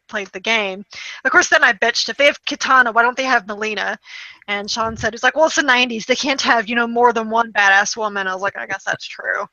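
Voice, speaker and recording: Bright-voiced woman chatting casually. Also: on the high side, no echo, dull audio. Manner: informal, expressive, quotes people's reactions. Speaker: podcast co-host reviewing movies. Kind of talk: casual chat, animated anecdote-telling.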